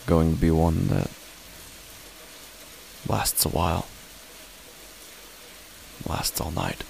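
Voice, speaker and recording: A man's voice: very animated, slow pace, creaky, a little mellow, a little hiss or hum. A man reading scripted horror fiction as a first-person narrator. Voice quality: low-pitched. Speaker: podcast host and narrator.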